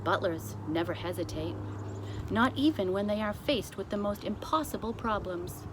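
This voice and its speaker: A woman reading a children's story aloud as scripted narration, with deliberate, expressive phrasing. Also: medium pitch. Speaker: storybook reader. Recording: clearly noisy amateur recording.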